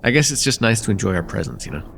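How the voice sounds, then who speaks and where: A man acts out a young male student with a crush, affectionate and teasing in a slice-of-life ASMR roleplay. His delivery swings expressively in pitch and has a creaky edge, with casual, hesitant phrasing over faint background noise. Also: sing-song, very fast, exaggerated voice. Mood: tender, sincere. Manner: casual, soft-hearted, hesitant, chuckling banter. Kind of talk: slice-of-life ASMR roleplay, intimate one-sided dialogue.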